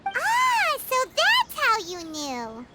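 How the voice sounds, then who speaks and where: A woman voicing a small, chirpy, childlike fairy companion in an animated video game, chatty and friendly, with a squeaky voice. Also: very slow, very noisy, heavily acted. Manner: chirpy, exclamatory, refers to self in third person.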